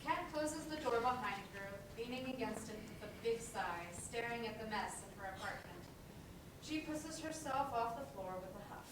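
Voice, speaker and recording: A woman's voice: on the high side, animated, moderate pace. A woman reading scripted stage directions as the narrator of a play. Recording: very echoey, distant mic, noisy background.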